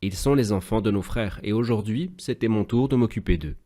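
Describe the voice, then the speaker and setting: A man voicing a gentle, pious father patiently teaching his children in a French cartoon, measured and didactic. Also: fairly low pitch, lightly acted. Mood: calm explaining.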